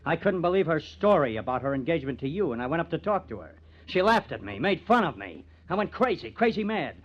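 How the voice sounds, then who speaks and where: An actor in an old-time radio crime drama playing a bitter, sardonic newspaper artist who has turned murderer. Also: male voice, fairly high pitch, big pitch swings, quick pace, slightly rough. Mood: bitter, confessing. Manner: sardonic, menacing. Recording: muffled vintage radio recording.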